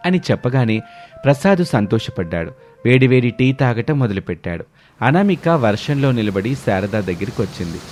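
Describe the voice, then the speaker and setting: A man's voice: mid-range voice, very animated, moderate pace, a little mellow, soft background music. A man narrating a scripted family moral story.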